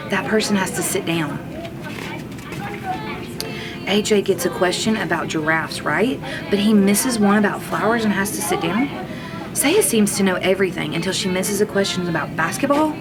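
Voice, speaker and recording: Woman reading a storybook aloud, music behind. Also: mid-range voice. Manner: expressive, acts out characters' lines. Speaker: kindergarten teacher reading aloud. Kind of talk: children's storybook read-aloud.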